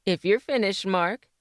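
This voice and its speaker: A woman plays a spirited, clever doctor's wife in a vintage radio drama. Her voice sits fairly high and swings expressively, recorded dry with little room around it. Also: no echo, full character voice. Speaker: actress. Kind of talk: old-time radio drama acting.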